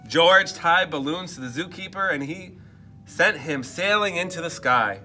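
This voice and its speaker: Male read-aloud storyteller: bright, lively pitch swings, chatty asides to young listeners. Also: a little slow, soft background music. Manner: expressive, asks listeners questions in muttered asides. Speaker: teacher reading aloud to children.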